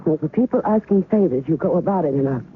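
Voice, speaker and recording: An actress playing a wary, sharp-tongued court stenographer in a 1970s radio drama, with quick retorts and nervous stammers when rattled. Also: female voice, low-pitched, lively intonation. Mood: indignant. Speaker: first-person narrator and lead character. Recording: tinny, lo-fi old radio broadcast with faint hiss.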